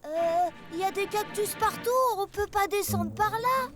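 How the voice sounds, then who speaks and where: A childlike cartoon truck with an extremely high voice over loud music. The eager youngster is exclamatory and easily alarmed. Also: female voice, measured pace.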